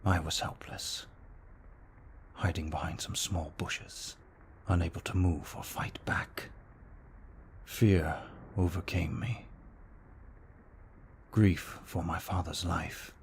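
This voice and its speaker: Man as a very deep, soft-spoken brooding vampire. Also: a little hiss or hum, heavily acted. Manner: soft-spoken, often breathy near-whisper, slow and formal. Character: brooding remorseful male vampire, gentle and protective. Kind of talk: ASMR roleplay, scripted monologue.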